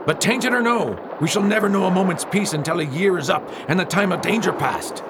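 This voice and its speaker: A man's voice: high voice, brisk, background noise. An actor playing a grave, superstitious pirate crewman with ominous archaic talk in a radio drama.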